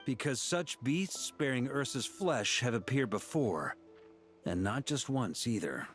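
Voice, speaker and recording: Composed, expressive knight officer. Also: male voice, medium pitch. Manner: measured, formal, expository. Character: composed male knight officer, measured and formal. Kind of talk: video game voice acting. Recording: faint music under voice.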